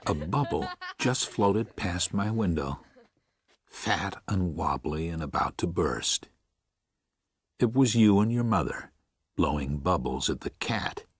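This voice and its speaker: Man playing a gentle old preacher, really deep and slow, musing and tender, husky, audiobook style. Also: animated, full character voice. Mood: reflective. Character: gentle, reflective 76-year-old Midwestern preacher writing to his son. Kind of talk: first-person audiobook narration with acted dialogue.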